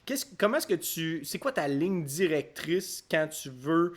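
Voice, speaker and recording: A man's voice: on the high side, very animated, measured pace. Hesitant male host. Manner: hesitant, many fillers while asking. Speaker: podcast host. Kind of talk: casual interview.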